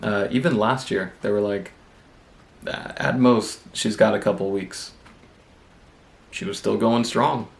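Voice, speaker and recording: Congested man with a gravelly low voice. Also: slow pace, pauses often. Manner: relaxed, rambling, self-deprecating. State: congested with a cold. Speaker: booktube vlogger. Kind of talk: casual vlog chat.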